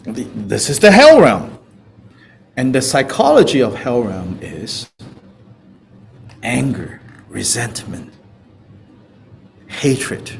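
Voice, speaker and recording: Malaysian guy teaching a class, playful and chatty, really up and down pitch, unhurried, bit of background noise. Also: sing-song, gravelly. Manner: playful, chuckling, conversational, with repeated checks like "you know". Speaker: Buddhist teacher. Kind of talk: informal spoken teaching with questions from students.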